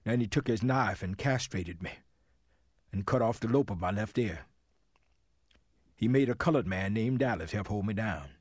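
A man acting a formerly enslaved Tennessee man, giving sober, measured first-person testimony in a dramatic reading. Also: lowish voice, a little mellow, no echo, studio quality, natural voice. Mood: grave, matter-of-fact.

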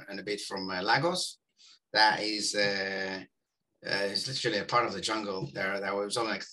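Israeli guy in his forties, low and bright-toned, loose rambling interview talk. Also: rough recording. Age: 40s. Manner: rambling, many fillers and restarts. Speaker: guest. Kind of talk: casual interview chat.

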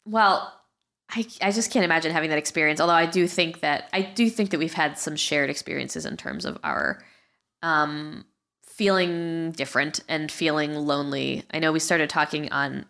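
A woman with a slightly low voice hosts a casual podcast interview. She is inquisitive and talks conversationally, feeling her way through her phrasing. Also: normal speed, vocal fry, a bit bright. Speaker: podcast host.

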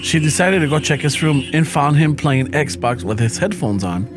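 A man with a Chicano English accent, casually reading a listener's story aloud on a podcast, relaxed and profane, mixing in Spanish phrases. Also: fairly high pitch, normal speed. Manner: laid-back, swears often, laughs through lines. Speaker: podcast host. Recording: music playing underneath.

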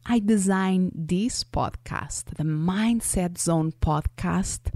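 A woman with a Portuguese accent talks slowly and deliberately, her voice low-pitched and warm. She hosts a solo podcast in an earnest, coaching manner, recorded close and dry with no room sound. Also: no echo. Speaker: podcast host. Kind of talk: scripted solo podcast monologue.

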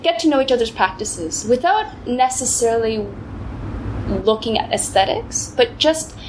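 A woman's voice: on the high side, animated, moderate pace, a little hiss or hum, low-quality recording. A woman from Canada, a choreographer and dancer, talking reflectively in a casual interview. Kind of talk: casual conversation.

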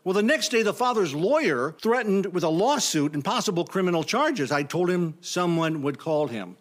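A man's voice: sits high, animated, moderate pace. A man playing a hapless, flustered new phone clerk in a comic audio drama, stammering and apologetic. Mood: weary, chatty.

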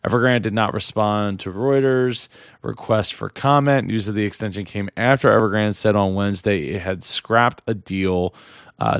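Hoarse man rambling through business news. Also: on the low side, no echo, studio quality. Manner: rambling, many restarts and fillers. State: losing his voice. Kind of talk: casual news commentary. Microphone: close mic.

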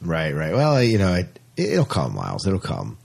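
A man's voice: deep voice, huge pitch swings, moderate pace, creaky, darkish tone, breathy edge. A man chatting casually about science fiction as a podcast co-host, often repeating phrases for emphasis.

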